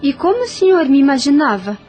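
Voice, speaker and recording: An actress in an audio novel playing a proud, frank but kindly young widow on a colonial farm, speaking formally and directly. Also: female voice, high voice, big pitch swings, fairly quick, darkish tone, soft background music. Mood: guarded, earnest.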